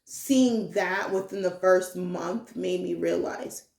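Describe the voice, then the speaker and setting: A woman's voice: lowish voice, slow pace, vocal fry, a little mellow, roomy sound. A woman telling a personal story in a casual interview, earnest and emphatic, often checking in with "right?". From Haitian. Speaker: guest, vegan caterer and chef.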